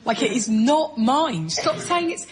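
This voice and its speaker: A woman's voice: sits high, sing-song, measured pace, soft background music. A British woman guest on a comedy panel show, going off on a rambling, exasperated conversational rant.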